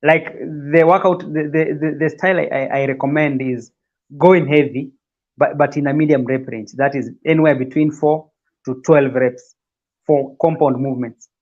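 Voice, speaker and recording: A man's voice: a little high, fairly even intonation, normal speed, a little mellow, lo-fi sound. A man from Kenya, a guest on a fitness livestream, talking casually and often repeating words as he explains.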